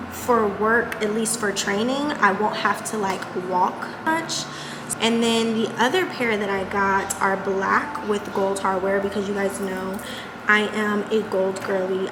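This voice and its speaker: A young woman vlogging to her viewers in a casual, chatty, upbeat way, with a soft, girlish voice. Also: medium pitch. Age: young adult. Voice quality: says she has a baby voice. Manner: chatty and upbeat, many fillers like "like". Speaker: lifestyle vlogger. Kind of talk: casual vlog chat to camera. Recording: echoey room with loud background noise.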